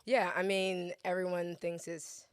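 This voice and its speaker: A woman's voice: fairly low pitch, little pitch movement, unhurried, clear, resonant tone. A young woman chef, born in Ghana and raised in the US South, chatting playfully as a podcast guest and laughing often. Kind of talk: casual conversation.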